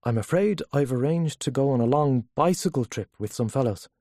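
Reserved man, put on by a narrator, guarded, hesitant, slightly husky, dry close sound, storybook dialogue. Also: medium pitch, lively intonation, fairly quick, dark tone, no room sound. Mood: uneasy, defensive. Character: reserved, weary, defensive educated married man.

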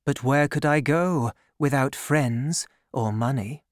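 A woman reading aloud and voicing a charming, calculating woman who speaks in a honeyed, measured way. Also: a bass voice, dry recording, clean studio sound. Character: scheming charming woman, sweet-voiced and calculating.

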